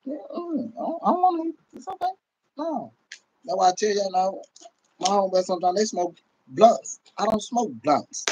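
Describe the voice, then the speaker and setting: A man's voice: sits high, expressive, normal speed, a little mellow, slight background noise, rough recording. A middle-aged American man on a casual livestream, talking bluntly and profanely with emphatic repetition, recorded on a phone at arm's length in a room at home. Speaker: livestream host. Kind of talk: casual livestream rant to viewers. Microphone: phone or webcam at arm's length.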